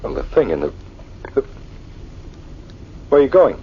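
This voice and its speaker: An actor playing a brave, reassuring man trapped in the dark in a 1940s radio horror drama, talking in a measured, hesitant way. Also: mid-range voice, wildly swooping pitch. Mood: uneasy. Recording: dull, faintly noisy old radio recording.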